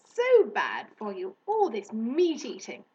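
A woman's voice: a little high, wildly swooping pitch, dark tone, lo-fi sound, exaggerated voice. A woman voicing a haughty magical alien hare in a storybook, with a pompous, emphatic way of talking. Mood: lofty, disapproving. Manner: pompous, drawn-out emphatic delivery.

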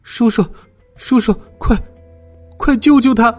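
A man's voice: high-pitched, animated, normal speed, breathy edge, faint music behind, voice pushed up. A man voicing an anxious young child in a storybook, pleading urgently and stumbling over words. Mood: frantic. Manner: urgent, stammering, near tears.

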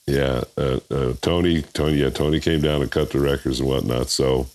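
A man's voice: steady tone, moderate pace, a little mellow. An older man, a bandleader and voiceover artist, chatting in an interview as a rambling storyteller who chuckles often. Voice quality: very deep voice. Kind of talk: casual interview chat.

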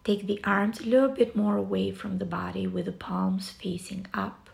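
A woman guiding a yoga nidra relaxation session in a soft, soothing, breathy way, with long pauses between short phrases. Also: mid-range voice, little pitch movement. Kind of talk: guided relaxation meditation.

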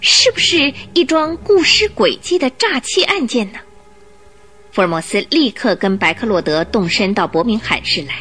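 A woman reading scripted narration for a Mandarin radio drama with expressive storyteller phrasing. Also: a little high, normal speed, soft background music.

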